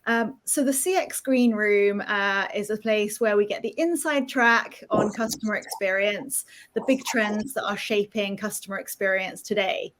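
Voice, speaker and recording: A woman's voice: mid-range voice, moderate pace, few pauses, a bit bright. A woman from the UK, co-hosting an interview in a friendly way, hesitating with fillers. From United Kingdom. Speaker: co-host.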